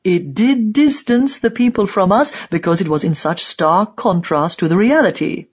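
A woman's voice: medium pitch, lively intonation, moderate pace, darkish tone, husky, dull audio. Woman voicing a stubborn, scornful old propagandist man. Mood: defiant. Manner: emphatic, scornful, sarcastic. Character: stubborn, angry old male East German propagandist. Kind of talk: dramatised audiobook dialogue.

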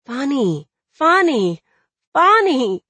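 A woman voicing a loving, steadfast young woman in an audiobook, speaking in a gentle, earnest and reassuring way. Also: high voice, very animated, extremely slow, no room sound, voice pushed up, exaggerated voice. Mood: pleading, reassuring. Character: loving, steadfast pregnant young woman soothing her jailed lover. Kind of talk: dramatic audiobook character dialogue.

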